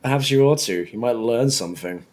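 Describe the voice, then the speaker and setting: A woman's voice: rumbling low, fairly quick, a little mellow, pitched below the performer's own voice. A woman voicing a spiteful male rival spellcaster in a fantasy story, goading and sarcastic.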